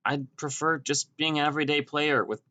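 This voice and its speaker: A man's voice: a little high, fairly quick, pushed and bright, voice pushed up. A man voicing a modest, socially anxious young swordsman in an audiobook, with a wry, hesitant manner. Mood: reluctant.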